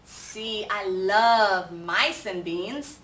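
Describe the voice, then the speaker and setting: A woman's voice: medium pitch, drawn-out words, a bit bright. A woman voicing a playful, boastful little boy kitten who poses as a Chihuahua hero, with dramatic exclamations and sing-song rhymes. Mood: swaggering. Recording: roomy, distant mic with faint noise.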